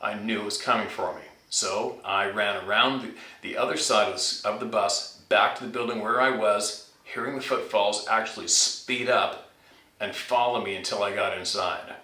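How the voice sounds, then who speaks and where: Male story-channel host, lowish pitch, informal read-aloud delivery, distant roomy recording. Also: fairly even intonation, normal speed, pushed and bright. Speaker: channel host reading out a viewer's submitted story. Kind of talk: reading a listener's scary story aloud, informal. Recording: roomy echo, distant from mic.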